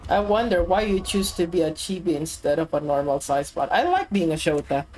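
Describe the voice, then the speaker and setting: A woman from the Philippines streaming a game, chatting casually and getting excitable as she plays. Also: lowish voice, brisk, poor audio. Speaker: VTuber streamer. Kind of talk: casual gaming chat. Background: game music.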